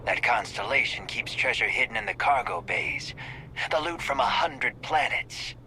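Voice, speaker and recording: A man's voice: medium pitch, lively intonation, moderate pace, close to an everyday voice. Cocky male space pirate by an actor, noisy background. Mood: taunting. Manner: taunting, informal, clipped tough talk. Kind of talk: scripted video game dialogue.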